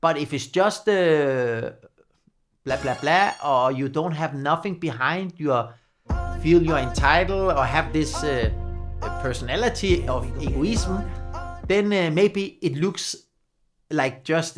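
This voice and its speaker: A man in his forties with a Danish accent talks casually to the camera, gesturing a lot and laughing often. His English is halting and non-native, and his voice sits somewhat high. He is recorded close on a studio mic in a small home studio. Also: a bit bright. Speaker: numerology coach. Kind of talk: casual talking-head explainer. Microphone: large studio mic on boom arm.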